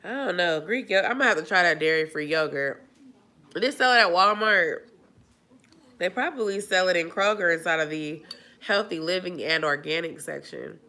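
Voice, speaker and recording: A woman's voice: lowish voice, normal speed, bright, forceful tone. A woman chatting casually on a live stream, nasal and stuffy from a cold, rambling with lots of fillers. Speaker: vlogger answering live chat.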